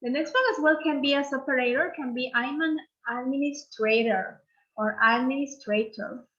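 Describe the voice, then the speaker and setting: A woman's voice: high voice, moderate pace, a bit bright, dull audio. A woman teacher from Peru with a Peruvian Spanish accent, leading an online English lesson and patiently repeating phrases for learners to copy.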